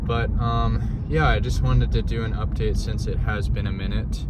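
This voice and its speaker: A young man giving a casual personal update, soft-spoken and hesitant with a hoarse voice after the flu. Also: on the low side, fairly even intonation, normal speed. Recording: lo-fi, distant mic, loud traffic noise.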